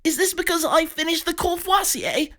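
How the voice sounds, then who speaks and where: A jittery, squeaky posh pig. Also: male voice, lively intonation, unhurried, sharp tone, exaggerated voice. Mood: panicked. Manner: fussy, jittery, posh exclamations. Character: nervous talking pig, genteel British chap.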